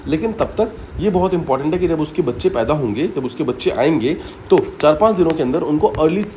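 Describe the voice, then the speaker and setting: A man's voice: fairly high pitch, expressive, quick pace, mellow, slight background noise. A man teaching exam students by telling a story, explaining step by step and often repeating key points with short English asides. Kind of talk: story-based mnemonic lesson.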